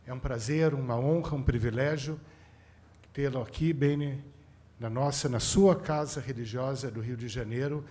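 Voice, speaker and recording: Brazilian male rabbi, mid-pitched, formal congregational introduction, measured and ceremonious delivery. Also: warm tone. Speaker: rabbi introducing a guest speaker.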